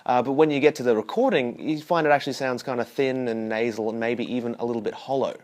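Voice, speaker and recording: Guy teaching bass online, chatty, keeps asking the viewer stuff, mid voice. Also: steady tone, fast talker, darkish tone. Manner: chatty, explaining, asks the viewer questions. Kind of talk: direct-to-camera instructional talk.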